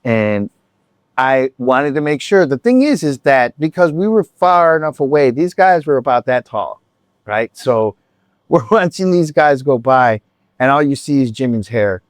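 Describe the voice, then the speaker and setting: Rambling animated man vlogger. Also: medium pitch, a little slow, no echo, clean studio sound. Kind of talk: casual storytelling chat.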